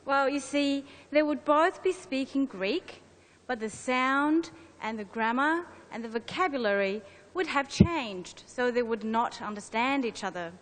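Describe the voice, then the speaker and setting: A woman's voice: high-pitched, expressive, a little slow. A woman whose first language is Chinese, explaining carefully in a scripted conference presentation with a co-presenter. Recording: long hall reverb.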